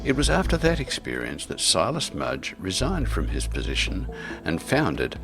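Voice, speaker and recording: Very deep-voiced man hosting a story show. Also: wildly swooping pitch, normal speed, creaky, music in the background. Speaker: radio show host. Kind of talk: casual live hosting and scripted story narration.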